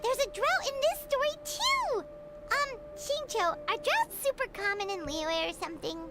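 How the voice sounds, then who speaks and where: A woman voicing a small, eager, childlike fairy companion in a video game, squeaky and excitable. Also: moderate pace. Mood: curious, excited. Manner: excitable, speaks of self in third person. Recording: loud background music. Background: loud music.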